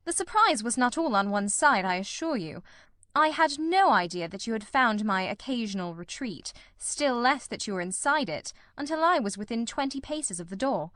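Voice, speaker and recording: A woman acts out a lean, keen gentleman detective in an audiobook. The voice is high for the part and recorded very dry. The delivery is precise, composed and politely teasing, with an incisive, ironical edge. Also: animated, moderate pace, dry recording. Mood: amused. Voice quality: cold, incisive. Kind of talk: audiobook character dialogue.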